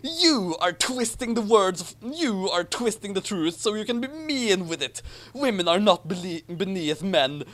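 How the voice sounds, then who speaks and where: A man's voice: high voice, big pitch swings, measured pace, few pauses, a bit bright, pitched above the performer's own voice, full character voice. A man voicing a self-righteous, earnest schoolboy wizard in a declamatory, indignant storybook reading. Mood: flustered, indignant. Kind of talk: mocking dramatic reading of fan fiction.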